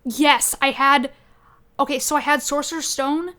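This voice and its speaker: A woman co-hosting a podcast, chatting casually about video games in an animated, expressive way. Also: high-pitched, a little slow. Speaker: podcast co-host.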